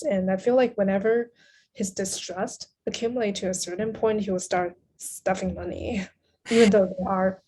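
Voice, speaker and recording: Thoughtful woman guest, mid-pitched voice. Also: warm tone, a little hiss or hum, rough recording. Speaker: podcast guest. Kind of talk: casual literary discussion.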